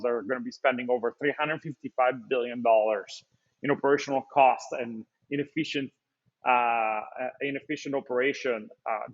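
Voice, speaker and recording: A man speaks as a startup founder in a webinar. His voice sits at an ordinary pitch and comes through slightly muffled web call audio. He talks fluently but keeps stopping to restart phrases and fill gaps with "uh" and "um.". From Italy. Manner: fluent but hesitant, frequent fillers and restarts. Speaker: startup founder and CEO, guest. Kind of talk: webinar interview, business pitch. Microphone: video call.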